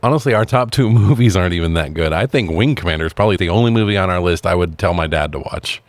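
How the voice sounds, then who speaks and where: A man's voice: low voice, expressive, quick pace, no echo. A man co-hosting a film podcast, casually trading geeky, sweary, self-deprecating banter. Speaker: podcast co-host. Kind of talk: casual movie-review chat.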